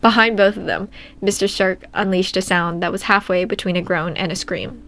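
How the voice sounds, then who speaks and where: A woman's voice: mid-range voice, steady tone, moderate pace, fairly bright tone. Woman reading a story aloud. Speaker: fan fiction reader. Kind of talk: scripted story narration with character dialogue.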